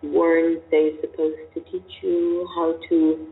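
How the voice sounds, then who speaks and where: A woman voice teacher speaks slowly and deliberately, pressing her points with probing questions. Her voice comes through thin and phone-like. It echoes with long reverb in a large hall. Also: sits low, level tone, creaky. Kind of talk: coaching conversation.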